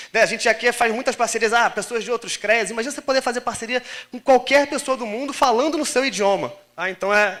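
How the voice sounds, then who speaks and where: A Brazilian man speaks as a conference lecturer in an informal, explanatory style. His voice sits high for a man and has a forceful, bright edge. He moves along quickly, with colloquial phrasing and frequent little check-in questions. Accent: Brazilian Portuguese. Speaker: conference speaker and course instructor.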